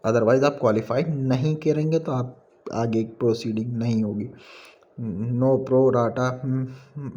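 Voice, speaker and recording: Flat, mellow Indian man explaining exam rules. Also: medium pitch, muffled recording. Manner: halting when reading English aloud, switches between Hindi and English. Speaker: exam information presenter. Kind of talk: informal explainer, reading out notice and commenting.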